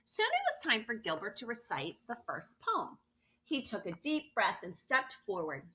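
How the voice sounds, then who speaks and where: A woman's voice: a little high, wildly swooping pitch, normal speed, sharp tone. A woman reading a children's picture book aloud expressively, stressing words for young listeners. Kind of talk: expressive read-aloud storytelling with asides.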